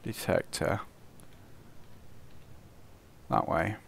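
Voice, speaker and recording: A man talks his way through a building game, thinking aloud and laughing at his own slip-ups. His voice sits a little low and is rough and gravelly, hoarse from a cold. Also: animated, very slow, a little hiss or hum. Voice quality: croaky, gravelly. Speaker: let's play host. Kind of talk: casual gameplay commentary.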